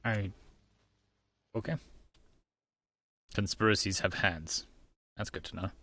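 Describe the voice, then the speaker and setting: A man with a fairly low voice talks casually as a streamer reacting to a visual novel. The sound is dry and close, with hardly any room to it. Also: takes it slowly, bright, forceful tone, dry recording. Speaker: streamer and audiobook narrator.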